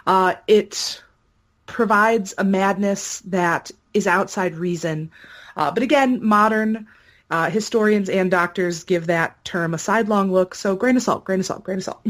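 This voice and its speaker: A woman's voice: mid-range voice, measured pace. A woman giving an informal, conversational explanation, telling historical accounts as a podcast guest.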